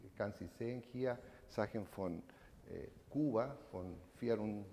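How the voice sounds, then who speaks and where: An evening of remembrance in an echoing hall: at the lectern, a low-voiced man in his sixties, native Spanish speaker with a Chilean accent, haltingly tells his own story. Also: vocal fry, mellow, a little hiss or hum. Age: 60s. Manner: halting, searching for words in non-native speech. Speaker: eyewitness speaker giving a testimony. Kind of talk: personal testimony talk to an audience. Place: indoor event room, standing at a lectern. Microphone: microphone on a stand. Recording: reverberant hall.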